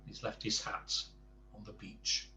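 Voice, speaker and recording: A man's voice: fairly low pitch, completely flat delivery, a little slow, sharp tone, reverberant, a little hiss or hum. A man reading a children's bedtime story aloud, adding chatty asides to the listener.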